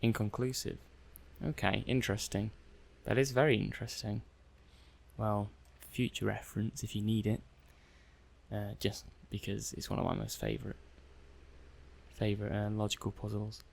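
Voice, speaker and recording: A man acting an affable technician in an ASMR sci-fi roleplay. His voice sits fairly low with plenty of gravelly creak. He talks softly and hesitantly, thinking aloud as he runs tests on a robot, a little flustered. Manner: hesitant, musing aloud, conversational. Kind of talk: soft-spoken ASMR roleplay.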